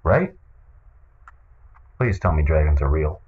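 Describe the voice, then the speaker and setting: A man hosting a comedic podcast in a casual, deadpan and hesitant way, on a muffled recording. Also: very deep voice, slow, slightly rough. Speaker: podcast host. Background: music.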